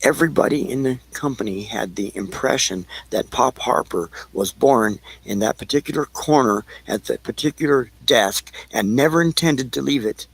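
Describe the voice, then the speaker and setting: Male narrator, husky and creaky, scripted story reading, slightly halting, dry close sound. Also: mid-range voice, no room sound, low-quality recording. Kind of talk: amateur scripted narration of an audio play.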